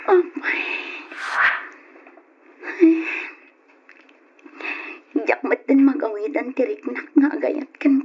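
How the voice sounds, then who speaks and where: Slow, brooding young Ilocano woman. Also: sits high. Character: jealous, lovesick, scheming young female student. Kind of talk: old radio drama acting. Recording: muffled radio recording, background hiss.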